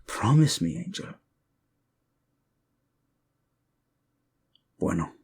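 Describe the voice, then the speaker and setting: An actor playing a protective, lovesick king in a romantic audio drama, speaking tenderly and haltingly with a Spanish accent. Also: fairly high pitch, big pitch swings, vocal fry, a little mellow, slightly rough, full character voice. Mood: worried, commanding. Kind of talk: intimate romantic audio drama acting addressed to listener.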